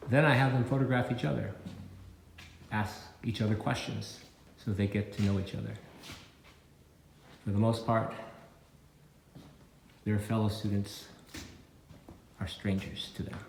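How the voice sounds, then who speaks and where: A man in his sixties with an American Midwestern accent, giving a prepared keynote talk as a photographer in measured, deliberate phrases. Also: on the low side, fairly even intonation, creaky. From Minnesota, United States. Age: 60s. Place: indoor gallery, recorded on location. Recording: echoey gallery room with faint background noise.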